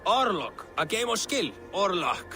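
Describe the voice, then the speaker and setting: A man's voice: high-pitched, very animated, measured pace, full character voice. An actor voicing a boastful, hearty male gambler challenging others to a game in a video game. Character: cocky male dice-game player. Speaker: game character. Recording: music score underneath.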